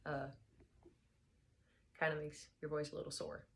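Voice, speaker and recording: A young woman speaking in a very low, gravelly voice that has gone hoarse and worn. She sounds tired and talks in a soft, unhurried way as she chats and reads aloud. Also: completely flat delivery. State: hoarse, slightly lost voice. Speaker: book reader vlogger. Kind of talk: casual chat then reading aloud.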